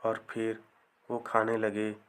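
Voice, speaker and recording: A man narrating a scripted comic folktale as a storyteller, in measured short phrases. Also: fairly low pitch, completely flat delivery, fairly bright tone, clear, resonant tone.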